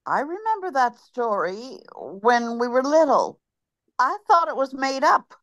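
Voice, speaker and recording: Woman playing a wry mourner, slow, big swooping pitch, dry close sound. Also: very animated, a bit bright, dry recording, highs cut off, full character voice. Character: wry older woman mourner, fellow churchgoer. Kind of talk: zoom radio-play reading. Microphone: video call.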